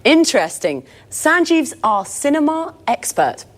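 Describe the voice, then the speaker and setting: A young woman from Scotland presents a language programme in a cheerful, scripted manner. Her voice sits fairly high and moves a lot. She speaks unhurriedly. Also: big pitch swings, unhurried. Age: 20s.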